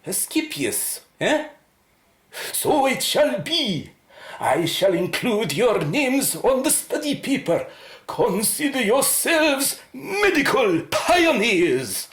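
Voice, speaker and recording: In an echoing room, a senior scientist played by a woman talks with slow, formal authority. Curiosity lifts the very expressive radio drama delivery. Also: fairly high pitch, faint music behind. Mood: excited, grand. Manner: measured, formal, deliberate. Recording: roomy, echoing sound.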